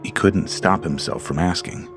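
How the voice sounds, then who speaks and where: A man narrating a horror story in a slow, measured, ominous reading. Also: sits low, vocal fry. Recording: studio voice-over with creepy background music.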